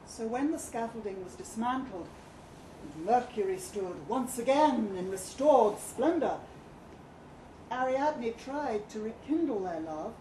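A woman reading a short story aloud at a live event, with expressive, deliberate and theatrical narration. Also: sits high. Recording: echoey live room, background noise.

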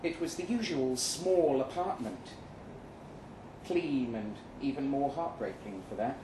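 Man acting out a slow-spoken, weary narrator, roomy sound. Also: mid-range voice, warm tone, natural voice. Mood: wistful. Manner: deliberate, dramatic pauses. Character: weary, jaded male agent narrating his own story. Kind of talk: live dramatic short-story reading. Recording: roomy live venue, some noise.

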